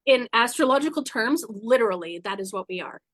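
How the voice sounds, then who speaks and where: Animated, blunt woman with a higher voice. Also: fairly quick, no echo. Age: 40s. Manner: animated, blunt, acts out her own inner dialogue. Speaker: guest, spiritual guide. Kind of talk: candid personal storytelling in conversation.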